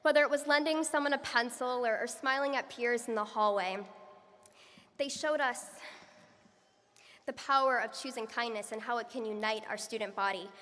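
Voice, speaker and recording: A young woman delivers a graduation speech with a clear, bright voice set a little high. Her delivery is earnest and measured, and long reverb rings around her in a large hall. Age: young adult. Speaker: student speaker. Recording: echoey hall from far off.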